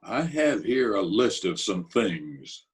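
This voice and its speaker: A man acting as a blunt underworld boss from an old radio drama. The voice is low and commanding, with formal gangster phrasing. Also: very animated, takes it slowly. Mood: threatening. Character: blunt, commanding New York underworld tough guy.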